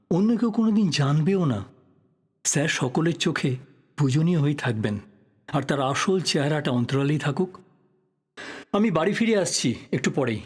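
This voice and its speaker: A man playing a thoughtful, polite writer probing a death in an audio drama, speaking in a measured, reflective way. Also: fairly high pitch, husky. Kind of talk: Bengali audio drama acting.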